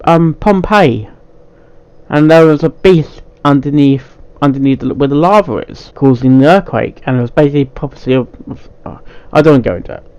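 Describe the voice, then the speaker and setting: A man hosting a casual, unscripted reaction video, speaking haltingly and often stumbling, repeating and restarting his phrases. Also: on the high side, darkish tone, smooth, lo-fi sound.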